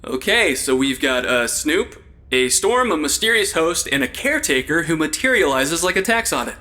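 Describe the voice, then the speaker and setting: A man's voice: on the high side, big pitch swings, normal speed, sharp tone. An actor playing a suspicious, wisecracking everyman guest in an audio drama, with dry sarcasm. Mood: wary, sarcastic.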